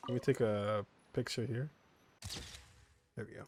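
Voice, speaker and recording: A man's voice: mid-range voice, steady tone, unhurried, a little mellow, a little hiss or hum. A man commenting casually and jokingly while he plays a game. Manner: casual, joking reactions with laughs. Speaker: gaming streamer.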